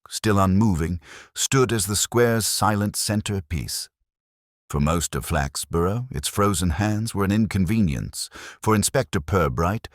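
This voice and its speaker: A man narrating a scripted mystery story in a measured, literary manner. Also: deep voice, no room sound, studio quality.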